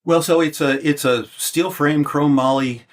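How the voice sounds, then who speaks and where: A man's voice: a little high, steady tone, unhurried. A man of seventy from the United States, talking as a guest in a casual interview, hesitant and full of restarts. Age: 70s. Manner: hesitant, many restarts and fillers.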